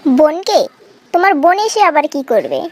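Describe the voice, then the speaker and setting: A woman playing a brisk, formal female job interviewer who puts questions in a comic cartoon. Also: sits high, very animated, noisy background. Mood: probing. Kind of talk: cartoon comedy dialogue.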